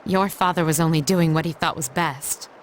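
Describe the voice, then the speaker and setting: A woman's voice: low-pitched, fairly even intonation, moderate pace, a little hiss or hum, close to an everyday voice. A woman voicing a proud, reserved young noblewoman in an anime game, speaking formally and with measure. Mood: resolute. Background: music.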